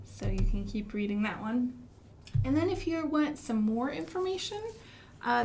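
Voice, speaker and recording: An American woman leading a storytime for young children in an expressive, sing-song way, with gasps and hushed emphasis. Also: on the high side, a little hiss or hum. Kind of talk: children's storytime with singing, rhymes and asides.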